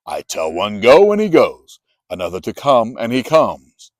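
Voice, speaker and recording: Guy doing humble roman soldier, pleading, punchy and animated, bible story. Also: on the low side, unhurried, no echo, studio quality. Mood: humble, emphatic. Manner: pleading, emphatic. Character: earnest, humble Roman centurion, adult male soldier.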